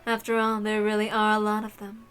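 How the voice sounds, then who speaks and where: A woman voicing a cruel, imperious witch mistress who speaks in a languid, haughty, measured way. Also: medium pitch, completely flat delivery, fairly bright tone, clean tone, faint music behind, close to an everyday voice. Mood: cool, imperious.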